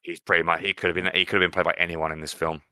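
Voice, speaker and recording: A man's voice: deep voice, little pitch movement, racing, bright, forceful tone, no room sound, clean studio sound. A British man co-hosting a podcast, chatting casually about films in a blunt, opinionated, sweary way. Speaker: podcast co-host. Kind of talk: casual film discussion.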